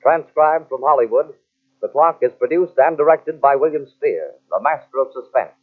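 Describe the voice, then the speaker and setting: A man announcing an old-time radio drama in a smooth, formal, measured way. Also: mid-range voice, lively intonation. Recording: tinny old radio transcription with music.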